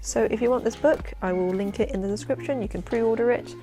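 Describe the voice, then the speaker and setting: A young woman with a London accent and a husky voice, chatting excitedly to camera in a casual vlog about her work as a poet. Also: fairly high pitch, music in the background. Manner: chatty, excitable, quick asides and laughs. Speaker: poet and vlogger. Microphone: camera-mounted shotgun mic.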